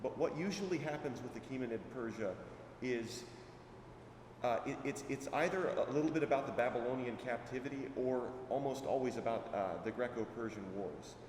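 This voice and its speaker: A man's voice: mid-range voice, a little fast, a little mellow, recorded from a distance. A man moderating a conference panel, asking questions hesitantly with fillers. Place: large conference hall. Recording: echoey hall from off-mic, room noise.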